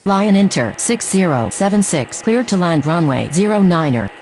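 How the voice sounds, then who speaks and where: A woman's voice: sing-song, normal speed, darkish tone. A woman playing a formal, terse air traffic radio operator in a game, using clipped scripted phraseology. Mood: formal, businesslike. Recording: noisy game audio, vehicle rumble.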